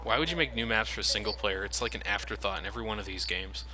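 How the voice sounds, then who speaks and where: Bright-voiced joking man commentating gameplay. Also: lowish voice, quick pace. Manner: joking, sarcastic, swears freely. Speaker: let's play commentator.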